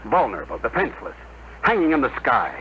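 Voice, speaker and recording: A man's voice: mid-range voice, sing-song, brisk, lightly acted. A man acting in a 1950s radio drama as an older, self-sacrificing general, emphatic and dramatic. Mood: impassioned pleading. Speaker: radio actor. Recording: muffled, noisy old radio broadcast.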